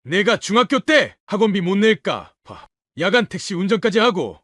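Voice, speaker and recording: A man's voice: high-pitched, big pitch swings, normal speed, a bit bright, dry recording, clean studio sound, full character voice. An actor playing a bitter, wounded old widowed father in an audio drama, reproachful and emphatic in his questioning.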